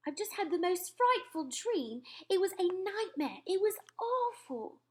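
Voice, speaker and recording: A woman's voice: very high voice, very animated, measured pace, darkish tone, voice pushed up, full character voice. A woman reading aloud and voicing a refined, upper-class queen who exclaims and gives orders. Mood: distressed. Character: refined elderly queen, composed yet shaken.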